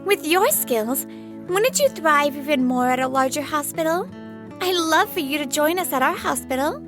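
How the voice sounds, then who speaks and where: A woman plays a sweet, poised young doctor in a manga dub. Her voice is high, composed and polite, with firm principles under it. Music is clearly heard behind her. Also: expressive, normal speed. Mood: affectionate, coy. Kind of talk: animated romantic-comedy dub acting.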